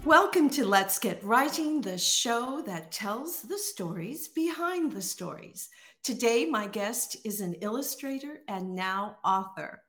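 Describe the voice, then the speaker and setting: A woman's voice: a little high, lively intonation, slow pace, fairly bright tone, soft background music. A woman hosting a writing podcast, welcoming a guest and asking about her journey with enthusiasm. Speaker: podcast host. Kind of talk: interview host chat.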